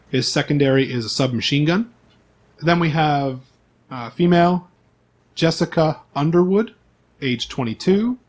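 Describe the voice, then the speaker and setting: A man acting as game master, reading out character profiles in an informal, list-like way with asides. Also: a little high.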